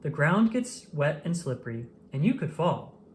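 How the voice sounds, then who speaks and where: A woman reading a storybook, voicing a kind grown man who gently gives advice. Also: low-pitched, big pitch swings, normal speed, slight background noise. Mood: caring. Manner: gentle advising tone.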